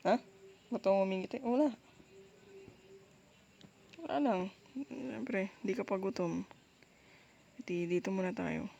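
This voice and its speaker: A woman with a Filipino accent doing intimate girlfriend roleplay ASMR, speaking softly and closely in a soothing, coaxing, reassuring way. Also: medium pitch, fairly even intonation, a little slow, vocal fry, pauses often. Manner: soothing, coaxing, with frequent gentle check-ins. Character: soft dominant, reassuring, apologetic girlfriend comforting her partner. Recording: faint background noise.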